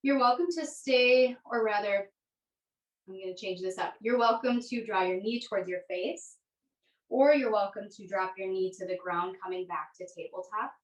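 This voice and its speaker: Woman yoga instructor, gentle unhurried cues, mid pitch, bit far from the mic, echoey. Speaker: yoga teacher. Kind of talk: guided yoga class instruction. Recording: echoey room, distant microphone.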